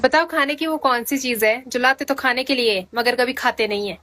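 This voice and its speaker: A woman's voice: sits high, normal speed, very noisy. A woman hosting a casual riddle quiz, putting questions and bantering over the answers.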